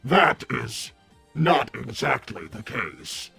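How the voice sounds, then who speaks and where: A man voicing a cold, calculating robot villain in a formal, stilted debating manner, with a raspy voice. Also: medium pitch, very animated, slow pace, bright, forceful tone, faint music behind, heavily acted. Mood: cold, haughty. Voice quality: raspy, husky. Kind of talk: improvised in-character debate.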